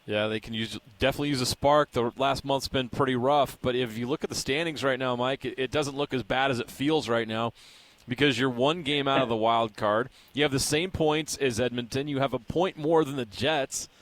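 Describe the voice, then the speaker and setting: A man's voice: medium pitch, fairly quick. An American man hosting a sports radio interview in a conversational style.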